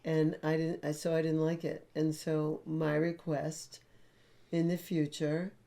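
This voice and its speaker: A woman's voice: low-pitched, level tone, a little slow, vocal fry, mellow. A woman co-hosting a relationship talk show who states her feelings and requests plainly and directly. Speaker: co-host. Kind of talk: live relationship talk show, role-play of a conflict repair.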